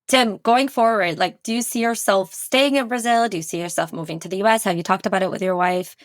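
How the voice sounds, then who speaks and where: A woman's voice: medium pitch, fairly quick, dry recording, studio quality. A woman hosting a podcast interview, upbeat and fluent as she asks questions and reflects. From Canada. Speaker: podcast host. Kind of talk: interview conversation.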